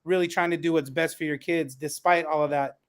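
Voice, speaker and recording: A man with a notably high voice hosts a panel discussion. He speaks conversationally as he moderates, handing questions to the guests. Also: fairly even intonation, brisk. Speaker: panel host and elementary school teacher.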